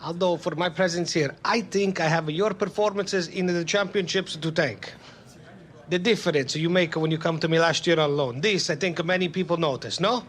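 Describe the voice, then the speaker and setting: A man playing a foreign football club executive in a game cutscene, courteous and halting in accented English. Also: on the high side, a bit bright, natural voice. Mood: gracious. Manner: courteous, halting non-native phrasing. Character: smooth foreign football club executive, anxious under pressure. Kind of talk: video game cutscene acting. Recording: music behind the voice.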